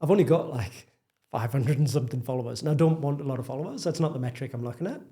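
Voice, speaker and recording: A man's voice: medium pitch, expressive, rapid-fire, dark tone. A man with a Geordie accent, a property developer talking candidly and self-deprecatingly as a podcast guest. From northeast England. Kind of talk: casual interview chat.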